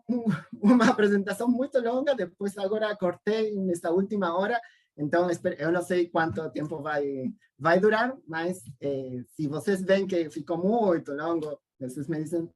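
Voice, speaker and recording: A speaker from Argentina with a Spanish accent giving an informal academic talk on a video call, halting and repeating words. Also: medium pitch. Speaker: guest lecturer, academic and activist. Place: online Zoom call.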